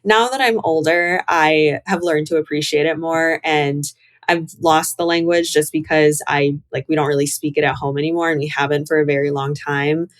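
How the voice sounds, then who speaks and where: Low-pitched young American woman. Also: steady tone, normal speed. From Chicago, United States. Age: young adult. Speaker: podcast guest. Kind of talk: casual conversational interview.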